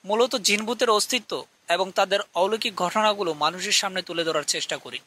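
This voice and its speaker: A man's voice: high voice, fast talker, pushed and bright. A man voicing an eager young ghost-hunting TV reporter in a scripted cartoon. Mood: keen, formal. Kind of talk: scripted cartoon dialogue and show presenting.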